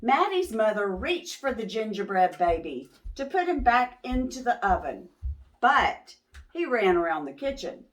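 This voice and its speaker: A woman reading a picture book aloud to young children as host, expressive and pausing to ask questions. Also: medium pitch.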